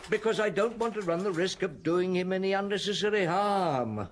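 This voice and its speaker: Gruff, wry police chief inspector. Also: male voice, high voice, expressive, moderate pace. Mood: brisk, musing. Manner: hesitant murmurs, terse orders, mutters. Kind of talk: audio drama acting.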